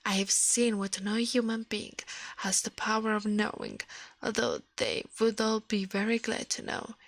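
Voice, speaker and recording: A woman's voice: mid-range voice, very animated, normal speed, no room sound. A woman voicing a man's living shadow in an ASMR storybook reading, pompous, smug and condescending. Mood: boastful. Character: man's shadow come alive, smug, condescending, self-important master.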